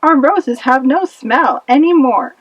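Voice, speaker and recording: Woman voicing high-pitched young princess Marigold. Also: unhurried, no room sound, muffled recording. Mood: upset. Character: Marigold, king's sweet young daughter, tender and easily upset.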